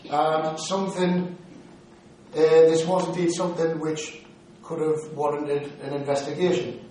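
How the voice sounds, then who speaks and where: A man's voice: on the high side, a little mellow, recorded from a distance, a little hiss or hum. Geordie man lecturing in an echoey hall. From Newcastle upon Tyne, England. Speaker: paranormal investigator giving a talk. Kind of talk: lecture to an audience. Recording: very echoey hall, recorded from afar.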